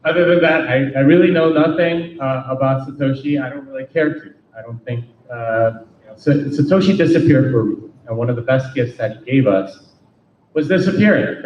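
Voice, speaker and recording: A man speaking bluntly at a meetup, firing rhetorical questions at the audience, heard from a distance. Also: medium pitch. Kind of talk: meetup Q&A talk. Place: meeting room. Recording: roomy, distant, dull lo-fi sound with faint noise.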